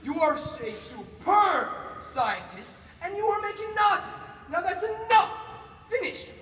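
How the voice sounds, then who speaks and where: An actor playing a grandiose, manic mad scientist in a theatrical horror-parody style, with melodramatic delivery. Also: male voice, very high-pitched, lively intonation, moderate pace. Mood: furious scolding. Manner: melodramatic, swinging from hushed coaxing to shouting. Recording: echoey hall, distant, loud background noise.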